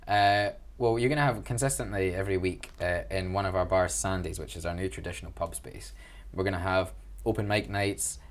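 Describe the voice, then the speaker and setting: A man's voice: deep voice, fairly even intonation, a little fast, hardly any pauses. A young man with a Glaswegian accent, chatting enthusiastically about student union events in an interview, with a self-deprecating humor. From Glasgow, Scotland. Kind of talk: casual interview answers.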